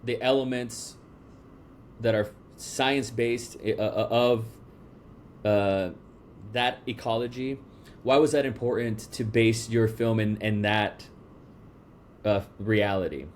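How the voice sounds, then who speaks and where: Slow, halting podcast host, a man. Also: on the low side, fairly even intonation, a little hiss or hum. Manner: halting, many restarts and fillers. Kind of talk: casual interview.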